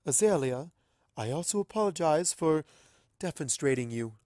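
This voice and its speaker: Man voicing a gracious, dignified pony princess, higher-pitched. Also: animated, a little mellow, dry recording, clean studio sound, lightly acted. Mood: apologetic. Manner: formal, measured politeness.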